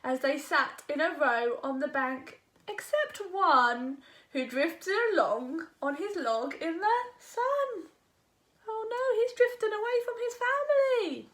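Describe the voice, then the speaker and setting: A woman reading a rhyming picture book aloud to children in an expressive, engaging way. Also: extremely high pitch, takes it slowly. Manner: expressive, asks the young listener questions. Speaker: children's storyteller.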